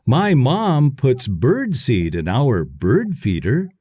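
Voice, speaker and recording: A man voicing a friendly young boy in a children's storybook, with simple, deliberate phrasing. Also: mid-range voice, very animated, dark tone, husky, no room sound, clean studio sound, full character voice. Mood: helpful.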